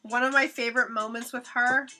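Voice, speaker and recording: A woman's voice: a little high, steady tone, a little fast, sharp tone, faint music behind. A young woman hosting a painting livestream, chatting casually with viewers and reading out their comments, swearing freely and laughing often; her first language is Hebrew. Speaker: livestream host. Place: home studio while painting.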